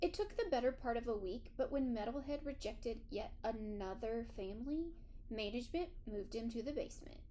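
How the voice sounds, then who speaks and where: A woman reading a children's story aloud in an expressive first-person narration. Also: on the high side, moderate pace, slight background noise. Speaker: audiobook reader.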